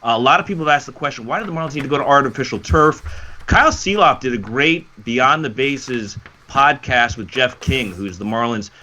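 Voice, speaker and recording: A man's voice: medium pitch, lively intonation, a little fast, bright, forceful tone. A man co-hosting a sports talk show, explaining things fluently and emphatically in a commentator's style.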